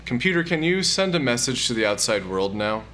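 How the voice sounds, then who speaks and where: A man playing a mild, cautious elderly programmer in an audio drama, measured, wry and understated. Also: mid-range voice, very animated, fairly bright tone, a little hiss or hum. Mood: worried, cautious. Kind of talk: scripted audio drama acting.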